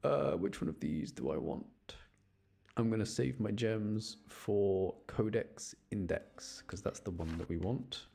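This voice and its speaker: A man's voice: lowish voice, lively intonation, measured pace, vocal fry, warm tone, slightly rough. A man chatting casually on a game livestream, rambling along and addressing his viewers. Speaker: streamer. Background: game music.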